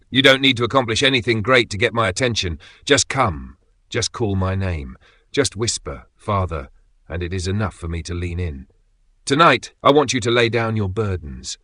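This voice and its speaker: A man reading a scripted devotional message in the voice of God, gentle and tender, often sinking to a near whisper. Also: lowish voice, no echo.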